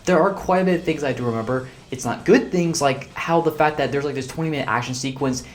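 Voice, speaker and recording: A man's voice: on the high side, quick pace. Exasperated young man ranting. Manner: exasperated, blunt, rambling with fillers. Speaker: video reviewer. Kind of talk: casual film review rant.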